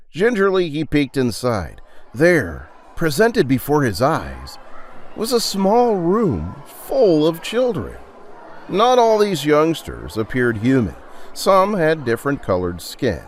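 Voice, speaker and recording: A man narrating a dramatic audio story in a theatrical, deliberate and suspenseful way. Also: a little high, huge pitch swings, a little hiss or hum. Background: music.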